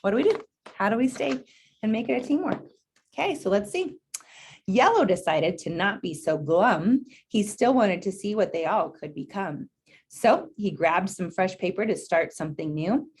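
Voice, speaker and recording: A woman's voice: medium pitch, expressive, normal speed, a little hiss or hum. A woman reading a children's picture book aloud, expressively, with encouraging chatty asides and questions to her listeners. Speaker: read-aloud presenter.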